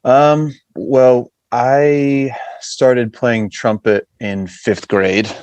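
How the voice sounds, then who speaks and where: A man's voice: medium pitch, steady tone, drawn-out words, a little mellow. An American man from western Massachusetts chatting casually as a podcast guest. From western Massachusetts, USA. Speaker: podcast guest, punk band musician. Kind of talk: casual chat.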